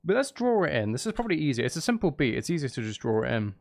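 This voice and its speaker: A man's voice: medium pitch, big pitch swings, rapid-fire, gravelly, a little mellow, no echo, studio quality. A man streaming casually, muttering and thinking aloud as he works on music. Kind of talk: casual livestream chat while making music. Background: electronic drum beats and synth sounds.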